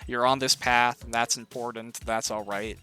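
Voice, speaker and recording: Man, mid-pitched, bright crisp-edged tone, interview host, conversational, encouraging, reflective, music underneath. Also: little pitch movement, normal speed. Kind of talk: casual interview chat.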